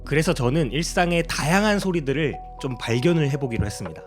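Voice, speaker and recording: Man narrating a tutorial, lively pitch. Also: quick pace, soft background music. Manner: polite, explanatory. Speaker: sound designer explaining own work. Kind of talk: tutorial narration, process breakdown.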